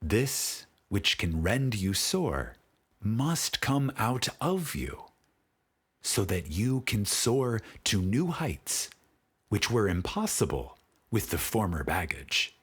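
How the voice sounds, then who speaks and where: Slow, husky man reading a spiritual audiobook. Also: lowish voice, very animated. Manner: solemn, measured, with long pauses. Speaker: audiobook narrator.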